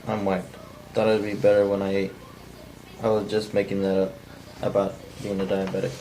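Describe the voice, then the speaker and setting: A man's voice: lowish voice, normal speed, a little hiss or hum, lightly acted, low-quality recording. A man reading the part of a menacing, bitter drifter in an unpolished script table read. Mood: threatening, tired. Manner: flat, unpolished amateur line reading. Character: menacing, bitter, down-on-his-luck male drifter intruder.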